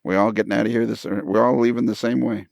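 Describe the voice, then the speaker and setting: A man with a creaky, gravelly voice hosts a casual podcast chat. The sound is close and dry. He speaks haltingly, doubling back on his phrases. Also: fairly low pitch, steady tone, darkish tone, no room sound. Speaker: podcast host.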